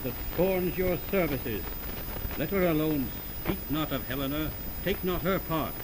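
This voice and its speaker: A noisy, crackly 1929 radio recording of a high male voice. a proud young rival lover, stern and challenging, with formal declamatory Shakespearean delivery. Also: animated, measured pace. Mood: stern, threatening. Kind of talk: 1920s radio Shakespeare acting.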